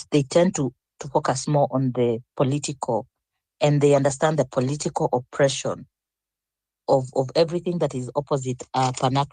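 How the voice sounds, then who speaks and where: Mujer mayor, charla reflexiva y pausada. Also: female voice, very deep voice, darkish tone, no room sound. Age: older. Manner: reflective, rambling with restarts. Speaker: panelist. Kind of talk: online panel discussion.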